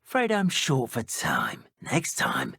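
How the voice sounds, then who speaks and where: An actor voicing a menacing, petty and cruel British dark wizard henchman in a video game, with a theatrical, taunting delivery. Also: medium pitch, huge pitch swings, slow pace, vocal fry, slightly rough, no room sound, studio quality, exaggerated voice. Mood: mocking, cold. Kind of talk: video game enemy voice lines.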